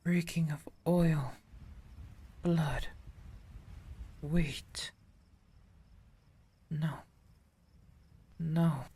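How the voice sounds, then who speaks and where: A woman playing a hulking, once-human beast in a gothic audio drama, with a rough, strained, halting voice. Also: deep voice, steady tone, mellow, heavily acted. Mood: menacing, suspicious.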